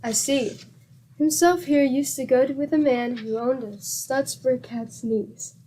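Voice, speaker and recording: An actress playing a patient, good-humored wife with a teasing, exclamatory manner in a 1940s-style radio comedy. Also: female voice, sits high, fairly even intonation, unhurried, dark tone, slight background noise, poor audio.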